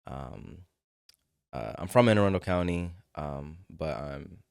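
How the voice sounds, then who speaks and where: A young man from Morocco, a university senior, answers podcast questions in an easy, understated way, often pausing on "um". His voice is very low and smooth. The recording is close and dry. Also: no room sound. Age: young adult. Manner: relaxed, understated, dry humor, hesitant "um" fillers. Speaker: student guest. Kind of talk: casual podcast interview.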